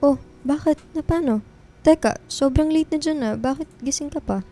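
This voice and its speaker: A woman's voice: sits high, normal speed, mellow, soft background music, lightly acted. An actress playing a young woman who is a loyal, guarded best friend, casual and reassuring, in a radio drama. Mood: concerned, guarded. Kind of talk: radio drama acting in casual Filipino talk.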